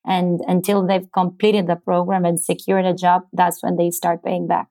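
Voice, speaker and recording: A woman's voice: on the low side, flat delivery, moderate pace, dark tone. A woman from Argentina with an Argentine accent, a native Spanish speaker, explaining her work as an interview guest and sometimes searching for words. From Buenos Aires, Argentina. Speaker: interview guest, startup co-founder.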